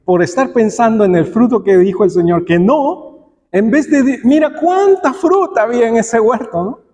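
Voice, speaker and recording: High-pitched man preaching in an echoing hall. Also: darkish tone. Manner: acts out imagined dialogues and quotes; rhetorical questions to the congregation. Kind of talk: sermon. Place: church hall, preaching aloud to congregation. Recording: long echo of a large hall.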